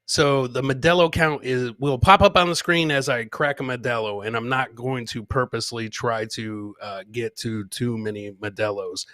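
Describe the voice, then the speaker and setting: A man's voice: medium pitch, fairly even intonation, moderate pace, few pauses, pushed and bright, dry recording. A man hosting a live comedy podcast, chatting informally with viewers and swearing casually. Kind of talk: casual live show chat.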